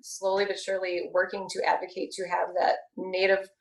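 A woman in her thirties speaking earnestly and measuredly in a casual discussion, pausing often with ums. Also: on the low side, monotone, vocal fry, echoey room, rough recording.

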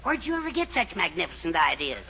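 An actor playing a middle-aged henpecked husband, florid and grandiose, in a 1940s radio sitcom. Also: male voice, high-pitched, wildly swooping pitch, quick pace, vocal fry, pushed and bright, full character voice. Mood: gushing, elated. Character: henpecked husband, grandiose, gushing and timidly brave. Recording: very noisy, muffled 1940s radio recording.

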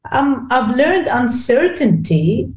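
Female guest from Lebanon, mellow voice, echoey room, faint hiss, easy laughs and fillers. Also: high-pitched, big pitch swings, slow. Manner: warm, laughs easily, hesitant fillers. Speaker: guest, executive coach. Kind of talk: casual interview conversation.

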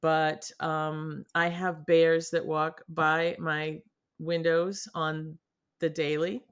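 Middle-aged woman with a trace of Boston accent, animated and quick to laugh; interview guest. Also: low voice, smooth. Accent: Boston, mostly lost. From New England. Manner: animated, laughs often, with pauses and restarts. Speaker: guest artist. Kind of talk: casual interview chat. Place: home studio in front of her paintings.

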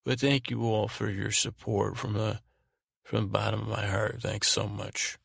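A man hosting a bedtime podcast in a meandering, halting monologue, often rephrasing himself, with a gravelly voice. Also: lowish voice, little pitch movement, a bit bright. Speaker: podcast host. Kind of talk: rambling bedtime monologue and thank-you announcements.

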